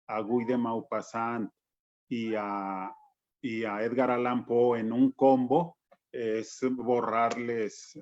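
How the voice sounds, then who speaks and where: Casting: man, average pitch, relaxed conversational storyteller on a panel, dull lo-fi sound. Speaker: panelist talking about favorite books. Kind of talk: casual anecdotal talk at a round table. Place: at home, remote call.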